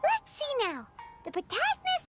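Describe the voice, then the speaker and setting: A woman voicing a tiny, excitable, bossy childlike fairy companion in a video game, squeaky and emphatic. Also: normal speed, full character voice. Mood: excited. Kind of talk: video game character acting. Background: game music.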